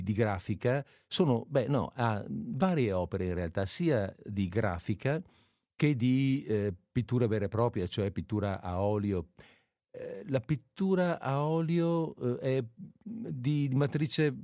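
Male radio host, soft dark timbre, low-pitched, halting unscripted delivery, feeling for words. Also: no room sound, clean studio sound. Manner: hesitant, searching for words. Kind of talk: unscripted cultural radio talk.

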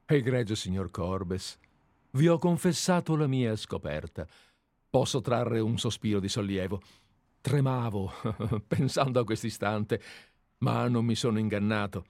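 A man's voice: medium pitch, darkish tone, no echo, studio quality. A man reading aloud as an obsessive, deferential admirer who has tracked a writer for years, in a dramatized radio play reading. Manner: earnest, deferential, methodical. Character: obsessive, fawning male admirer-sleuth. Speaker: reader.

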